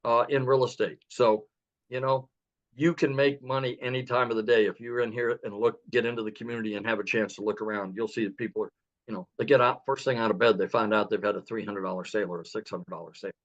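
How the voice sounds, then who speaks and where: A man's voice: steady tone, fast talker. A man giving an earnest, persuasive webinar testimonial over a video call. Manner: earnest, persuasive sales pitch. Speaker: guest speaker. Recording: muffled lo-fi online call audio.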